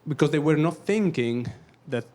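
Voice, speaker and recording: A man with an Italian accent, Italian his first language, speaking up from the audience at a talk, halting and searching for words. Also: on the high side, expressive, a little mellow. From Italy. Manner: halting, searching for words, then quick bursts. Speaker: audience member, documentary photographer. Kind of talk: question-and-answer at a talk.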